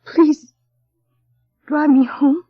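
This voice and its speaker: High-voiced, shy young woman. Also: fairly even intonation, very slow, no room sound. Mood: upset, subdued. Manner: hesitant, polite, wistful. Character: shy, earnest, naive nineteen-year-old paid companion. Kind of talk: 1940s radio acting and narration. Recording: dull vintage radio recording, music behind.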